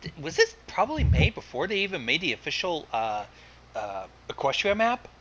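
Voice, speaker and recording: Male guest commentator, sarcastic and sweary, very lively intonation, slightly noisy recording. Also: fairly high pitch, moderate pace. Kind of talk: casual commentary.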